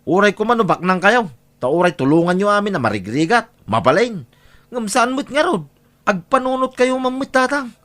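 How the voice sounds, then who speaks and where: A man's voice: sits high, very animated, moderate pace, a bit bright. A man playing an exasperated grown son in an audio drama, scolding and nagging his overly generous father. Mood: scolding, indignant.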